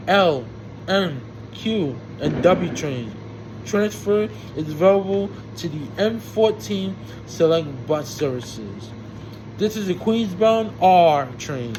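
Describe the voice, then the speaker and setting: A man reciting subway announcements in a formulaic way, imitating a train announcer and now and then stumbling over words. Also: sits high. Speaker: amateur transit announcer. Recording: loud steady background hum and noise.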